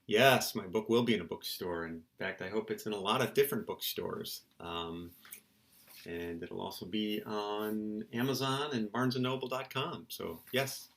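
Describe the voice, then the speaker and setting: A man's voice: on the low side, normal speed, vocal fry, a bit bright. A middle-aged man, a children's book author, giving casual, playful interview answers in simple terms for young listeners.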